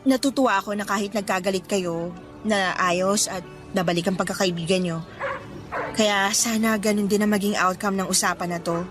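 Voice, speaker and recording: A woman acting in a Filipino radio drama as a cheerful, gentle young woman letting down her suitors. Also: medium pitch, fairly even intonation, normal speed, music in the background, lightly acted. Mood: gentle, serious.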